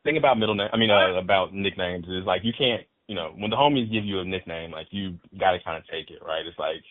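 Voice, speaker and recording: A man's voice: low voice, fast talker, no echo. A man from Fort Worth, a guest chatting casually and animatedly on a podcast, cursing freely and repeating words when he gets worked up. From Fort Worth, Texas. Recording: dry, phone-like band.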